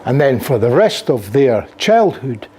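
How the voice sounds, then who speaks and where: An older Scottish man gives a lecture in a classroom, with a somewhat husky, breathy tone at an average pitch for a man. He talks in a lively way and gestures while speaking, with plenty of ums and uhs. He is picked up by a camera at the back of the room. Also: measured pace. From Scotland. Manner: animated, gesturing while speaking, frequent fillers. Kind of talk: conference lecture. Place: lecture room with projector and seated audience. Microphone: room recording from a camera at the back.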